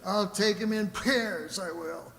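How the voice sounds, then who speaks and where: An actor playing a boastful, hard-drinking frontier tavern bully in a radio drama, with coarse, folksy, swaggering speech. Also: male voice, sits high, slow, fairly bright tone. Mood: belligerent, boastful. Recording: echoey hall, reverberant room.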